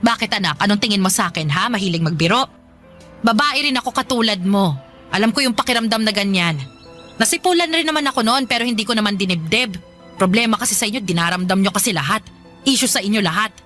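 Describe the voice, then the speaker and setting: A woman's voice: mid-range voice, expressive, quick pace, faint music behind, close to an everyday voice. A woman playing a defensive, argumentative middle-aged mother who scolds and dismisses, in a Filipino radio drama. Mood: dismissive scolding. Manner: argumentative, sharp questioning retorts.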